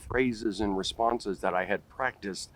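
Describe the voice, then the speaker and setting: A man's voice: on the low side, unhurried, rough recording. A man in his forties with a stutter, talking straight to camera outdoors in a park, repeating first sounds and restarting words. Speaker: vlogger. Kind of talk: direct-to-camera talk. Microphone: camera close up at face level.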